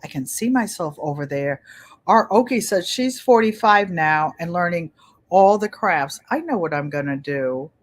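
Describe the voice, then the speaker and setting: Gentle-voiced woman in her seventies. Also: lowish voice, animated, moderate pace. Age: 70s. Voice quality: gentle, pleasant voice. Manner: chatty, rambling, repeats phrases. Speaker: channel host. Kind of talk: casual livestream chat.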